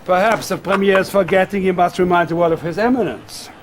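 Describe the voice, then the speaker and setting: An actor playing a flattering, scheming Soviet advisor in a game cutscene, persuasive and insinuating. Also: male voice, high voice, fairly quick, slight background noise, close to an everyday voice. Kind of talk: video game cutscene acting.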